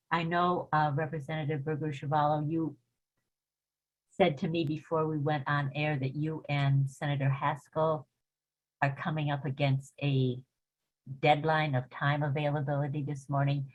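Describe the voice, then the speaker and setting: Low-pitched woman moderator, muffled sound. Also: level tone, moderate pace. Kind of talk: reading submitted questions, moderating. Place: remote video meeting. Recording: muffled video call audio.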